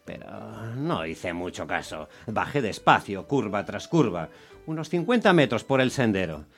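An exasperated, luckless taxi driver narrates his tale in a radio play, acted by a man. His delivery is wry and animated, full of swings in pitch, with soft music behind. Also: normal speed. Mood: weary, narrating. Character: exasperated, unlucky Roman taxi driver telling his story. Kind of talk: dramatised first-person radio narration.

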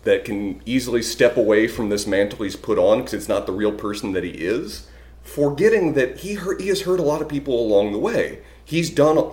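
A man's voice: medium pitch, darkish tone, echoey room. A man co-hosting a casual podcast that recaps a TV show, making dry, wry quips. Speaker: podcast co-host.

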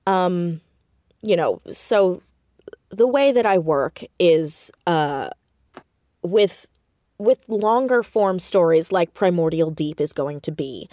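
A woman talking casually as an interview guest about her writing, with animated, self-deprecating storytelling and emphatic stresses on words. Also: fairly low pitch, no echo, clean studio sound. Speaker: podcast guest, audio drama writer and creator.

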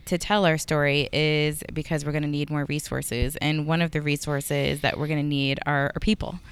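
A podcast host who runs a casual interview in a quick, upbeat way and laughs often. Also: medium pitch, level tone, smooth.

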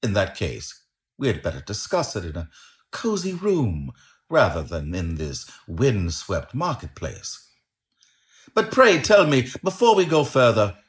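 A male narrator voicing a confident, shrewd and courteous Victorian gentleman detective, precise and assured, in a storybook reading. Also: fairly low pitch, huge pitch swings, measured pace.

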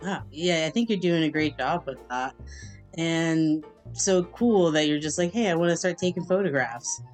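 Female podcast host, very low voice, friendly and chatty, music underneath. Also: normal speed, darkish tone. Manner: friendly, chatty, uses "like" a lot. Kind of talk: casual interview chat.

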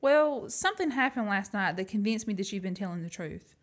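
A woman's voice: mid-range voice, lively intonation, fairly quick, lightly acted. A woman acting a plucky, frank young woman in an amateur radio play. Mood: calm, matter-of-fact. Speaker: student actor.

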